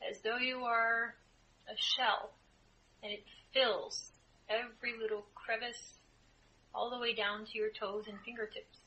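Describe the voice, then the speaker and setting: A woman leading a guided group meditation as a spiritual channeler, speaking in measured, deliberate phrases with frequent pauses. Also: on the high side, level tone, pushed and bright, low-quality recording. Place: indoor room, live group setting. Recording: live group room, clear background noise.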